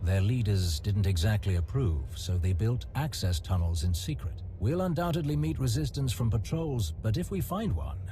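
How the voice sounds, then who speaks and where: An actor playing a self-assured, smooth-talking male guide in a video game, wry, wordy and genteel. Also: fairly low pitch, lively intonation, moderate pace, few pauses, warm tone, close to an everyday voice. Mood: suggesting, explaining. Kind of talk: acted game cutscene dialogue. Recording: music behind.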